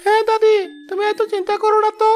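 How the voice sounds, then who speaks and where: A woman voicing an eager, caring young grandchild in an animated village moral story, earnest and reassuring. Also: very high voice, fast, soft background music.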